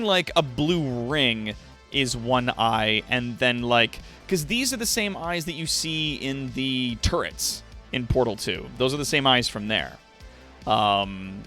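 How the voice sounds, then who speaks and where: A man livestreaming in casual chat with viewers, thinking aloud in loose, halting phrases. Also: medium pitch, bright, forceful tone.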